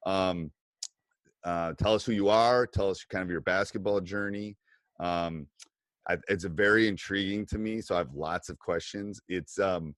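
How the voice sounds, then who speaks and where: A man's voice: sits low, fairly bright tone, no room sound. Rambling middle-aged male coach host. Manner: rambling, lots of fillers and restarts. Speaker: basketball coach and podcast host. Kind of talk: casual video-call interview.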